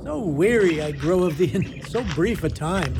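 Guy doing weary mad old king, high voice, theatrical radio shakespeare, lots of noise behind. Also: animated, normal speed. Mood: irritable. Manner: theatrical, archaic declaiming. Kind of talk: amateur Shakespearean radio drama acting. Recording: clear storm-like background noise.

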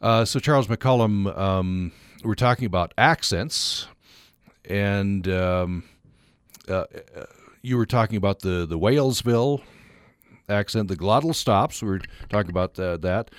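A man hosting a radio talk show, friendly and halting, with many fillers. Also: fairly low pitch, smooth, no room sound, clean studio sound. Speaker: radio host.

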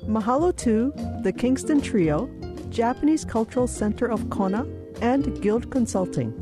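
Very lively woman announcer over music. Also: fairly high pitch, normal speed. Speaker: radio underwriting announcer. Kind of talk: scripted sponsor announcement.

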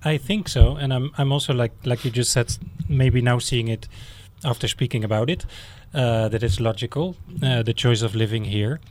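A man's voice: medium pitch, moderate pace, few pauses, darkish tone. A man from the Netherlands, a co-host talking casually and reflectively with some hesitant restarts. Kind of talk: casual interview chat.

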